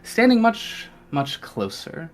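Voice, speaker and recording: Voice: medium pitch, huge pitch swings, takes it slowly. A game master narrating a tabletop roleplaying game in a descriptive, somewhat hesitant way. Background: faint music.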